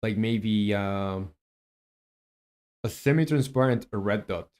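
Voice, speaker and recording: A man's voice: fairly low pitch, slow pace, darkish tone, smooth. A man who develops a game on a live stream, muses aloud while he codes and chats with viewers. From Chile.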